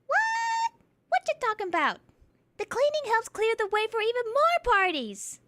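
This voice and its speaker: A woman voicing an excitable, cheerful teenage huntress in a fan audio drama. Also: extremely high pitch, moderate pace, no room sound, clean studio sound, heavily acted. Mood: bubbly. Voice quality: bubbly, excitable. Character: hyper cheerful teen huntress girl.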